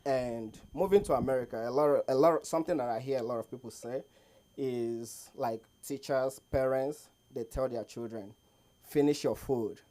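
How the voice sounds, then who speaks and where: A man's voice: medium pitch, moderate pace, warm tone. Nigerian man doing stand-up comedy. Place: small open mic comedy show with audience.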